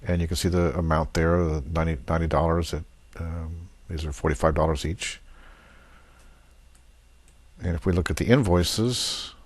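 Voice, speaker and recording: Deep-voiced man walking through software. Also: steady tone, moderate pace, no echo. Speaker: software demonstrator. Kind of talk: screen-share software walkthrough, unscripted.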